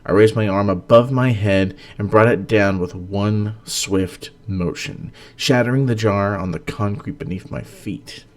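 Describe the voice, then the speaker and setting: Guy with a lowish voice hosting story readings, earnest and expressive. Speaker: host and story narrator. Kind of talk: dramatic story reading and casual discussion.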